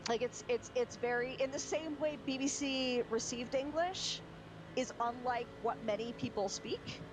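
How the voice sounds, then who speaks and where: A young woman chats casually during a sim race, wandering through her stories with restarts and fillers. Her voice sits a little high. Game noise runs under her talk. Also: unhurried. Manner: chatty, rambling with restarts and fillers. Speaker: sim racing streamer. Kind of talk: casual chat. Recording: background noise from game audio.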